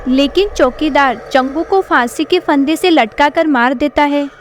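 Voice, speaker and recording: A woman's voice: sits high, unhurried, slight background noise. A woman narrating a scripted horror story. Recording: background music.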